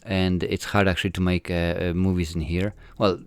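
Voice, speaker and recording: Polish filmmaker, 40s, low and smooth, halting answers full of fillers. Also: male voice, flat delivery, no room sound. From Poland. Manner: hesitant, many fillers and restarts. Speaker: filmmaker guest. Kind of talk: casual interview answers.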